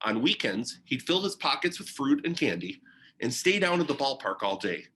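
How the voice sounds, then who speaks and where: A man reading a children's biography aloud in deliberate, short phrases with pauses, like a teacher sharing a picture book. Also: medium pitch, big pitch swings, sharp tone.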